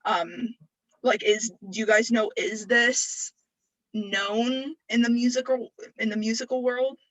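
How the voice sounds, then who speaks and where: A young woman with a bright, sharp-edged voice joins a casual student discussion. Her phrasing is loose and halting, yet her opinions come out emphatically. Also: a little high, steady tone, rough recording. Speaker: student group member.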